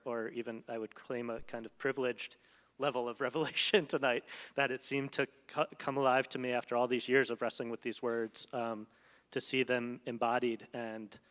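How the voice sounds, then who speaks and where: A man's voice: medium pitch, fairly bright tone. Thoughtful man in a reverberant hall. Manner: thoughtful, often searching for words. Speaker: translator in a post-reading conversation. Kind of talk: reflective onstage talk. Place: stage of a large hall before an audience.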